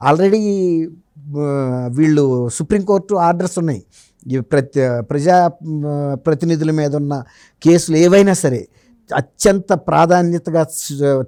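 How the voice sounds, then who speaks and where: Male political pundit, soft mellow tone, dry studio-like sound, conversational and explanatory. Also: on the high side, dry recording. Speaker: journalist giving political analysis as interview guest.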